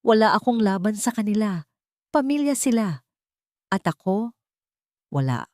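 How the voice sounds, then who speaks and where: A woman's voice: medium pitch, very animated, a little slow, a little mellow, no room sound, clean studio sound, pitched above the performer's own voice. A woman voicing a defeated, heartbroken young single mother in a drama story, hopeless and resigned. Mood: hopeless resignation.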